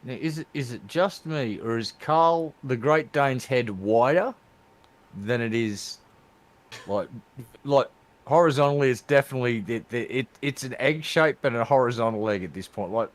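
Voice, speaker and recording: A man's voice: mid-range voice, moderate pace. A man co-hosting a podcast with crude, emphatic comic commentary, often repeating words for effect. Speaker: podcast co-host. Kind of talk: casual comic commentary banter.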